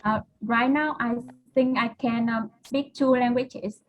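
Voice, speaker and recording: A woman's voice: on the high side, fairly even intonation, a little slow, lo-fi sound. A woman whose first language is Vietnamese, speaking English hesitantly with many fillers in a casual group chat. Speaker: participant.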